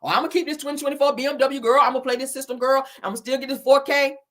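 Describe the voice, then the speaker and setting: Woman, higher-pitched, bright and sharp, blunt scolding commentator, emphatic repetition, profane. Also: steady tone, fast talker. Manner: emphatic, repeats phrases for effect. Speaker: YouTuber commentating on celebrity and viral topics. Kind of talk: animated reaction commentary.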